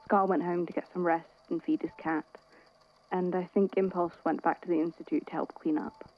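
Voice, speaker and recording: A caring, tired friend acted by a woman speaks in a lowish voice with hesitant, wry, conversational delivery. It is naturalistic audio drama, recorded a little muffled. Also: fairly even intonation, normal speed, natural voice. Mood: gently chiding. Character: worried, weary, caring friend at a hospital bedside.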